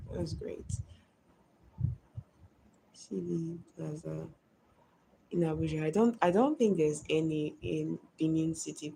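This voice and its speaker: Creaky-voiced woman streamer. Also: on the low side, warm tone, a little hiss or hum, poor audio. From Nigeria. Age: 20s. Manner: chatty, answers comments one by one. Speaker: live streamer. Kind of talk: live stream chat, reading viewer comments.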